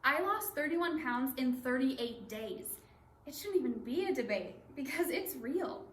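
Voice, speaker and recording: A woman's voice: high-pitched, expressive, a little slow. A woman performing a rehearsed, conversational monologue in a readers theatre piece. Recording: roomy stage recording with background hiss.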